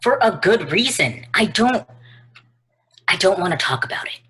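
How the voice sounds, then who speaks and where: A woman at a stage play table reading, playing a jumpy, secretive man from out of town who is hiding out, in a husky voice. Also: medium pitch, big pitch swings, normal speed, fairly bright tone. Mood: evasive.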